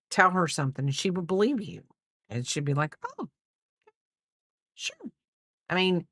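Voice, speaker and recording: Middle-aged woman, unhurried rambling craft chat, very varied pitch, close dry sound. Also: no echo. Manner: rambling, unhurried, trailing off and repeating herself. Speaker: crochet livestream host. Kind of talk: casual chat while crocheting, counting stitches aloud.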